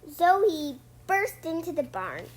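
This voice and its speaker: A child reading a picture book aloud as a storytime host, halting and repeating words, on a homemade recording. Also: very high-pitched, big pitch swings. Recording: clearly noisy home recording.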